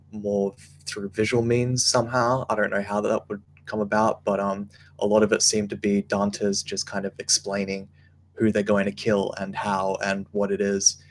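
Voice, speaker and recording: Flat, low-voiced man over noisy hum. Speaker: table reader giving script feedback. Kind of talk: casual spoken critique. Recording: clear background noise and hum.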